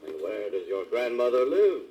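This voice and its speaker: A man voicing a sly, wicked wolf who talks in a soft, coaxing, falsely polite way on an old story record. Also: medium pitch, very animated, normal speed, slight background noise, close to an everyday voice. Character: sly, smooth-talking wicked male wolf. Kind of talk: 1948 children's story record.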